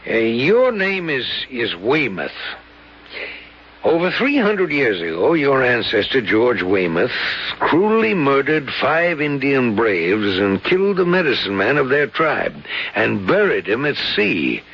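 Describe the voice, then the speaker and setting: An actor playing a solemn, superstitious old colonel in a radio drama, speaking gravely and deliberately with a gravelly, husky voice. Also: male voice, mid-range voice, big pitch swings, exaggerated voice. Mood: solemn warning. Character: solemn old colonel who believes in ghostly curses. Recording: muffled vintage radio drama recording.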